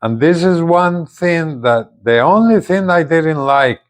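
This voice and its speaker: A man presenting a casual unboxing video, speaking haltingly and often repeating his words. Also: a little high, animated. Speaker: gadget reviewer, video host.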